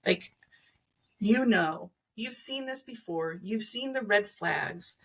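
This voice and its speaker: A woman's voice: mid-range voice, animated, slow, fairly bright tone. A middle-aged woman explaining things conversationally as an interview guest, earnest and emphatic, acting out imagined remarks. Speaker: podcast guest, energy healer.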